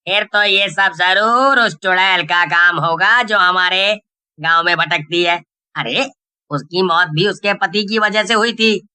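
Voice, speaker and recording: Casting: man as agitated village fellow, dramatic and emphatic, piercingly bright, crisp, unhurried, animated story. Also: sits high, full character voice. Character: agitated village man blaming others, explaining a witch's past. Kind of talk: Hindi animated story dialogue.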